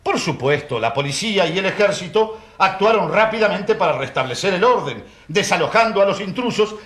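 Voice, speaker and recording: An actor playing an indignant, sarcastic prosecutor in a radio drama, speaking in pompous courtroom oratory. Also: male voice, sits high, lively intonation, fairly quick, fairly bright tone. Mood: smug accusing. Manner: pompous, rhetorical. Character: theatrical male prosecutor, accusing, indignant, sarcastic. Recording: roomy, echoing courtroom sound.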